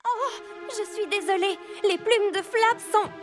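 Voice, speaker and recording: A woman voicing a polite, friendly young peacock girl in a children's cartoon, earnest, upbeat and quick to apologise. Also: very high voice. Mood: apologetic. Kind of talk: dubbed children's cartoon acting. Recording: music behind the voice.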